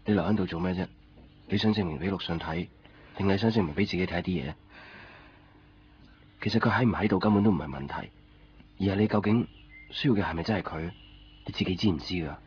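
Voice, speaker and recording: A man's voice: sits low, quick pace, pauses often, a little hiss or hum, close to an everyday voice. A man acting an earnest, devoted young man in a Cantonese radio drama, with a Hong Kong accent and a probing, pressing way of talking. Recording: dull lo-fi 1990s radio recording, music behind. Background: background music.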